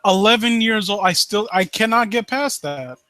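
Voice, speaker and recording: A man's voice: high voice, lively intonation, moderate pace, sharp tone, no echo, studio quality. A man hosting a casual live critique, talking off the cuff in a blunt, sweary manner. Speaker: host of a live story critique show.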